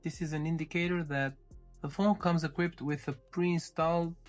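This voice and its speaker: A man with a somewhat high-pitched voice talks through a device as a tech reviewer. His delivery is informal and goes step by step, like a casual unboxing commentary. Faint music plays behind him. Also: fairly even intonation, normal speed. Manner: informal, step-by-step narrating while handling a device.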